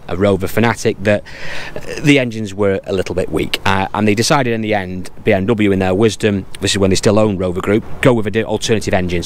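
A British man in his thirties casually reviewing a car while driving, talking in a rambling, chatty way. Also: on the low side. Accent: British English. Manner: chatty, rambling, hedges with "from memory". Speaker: car dealer vlogger. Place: inside a small car, driving. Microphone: camera on dashboard. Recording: car interior with clear road noise. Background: road and engine noise.

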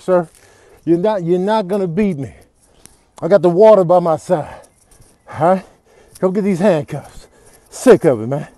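Male comedian as veteran cop, comedy voiceover, high pitch, folksy, fed up, grumbling. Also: mellow, no echo, close to an everyday voice. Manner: grumbling, world-weary, folksy. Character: weary veteran male cop on a foot chase, exasperated. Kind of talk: comedic improvised video voiceover.

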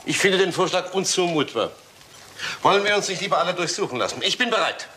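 An actor playing a brusque young aristocratic son in a German radio crime play, clipped in manner. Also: male voice, sits high, expressive, quick pace, sharp tone, slight background noise. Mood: indignant.

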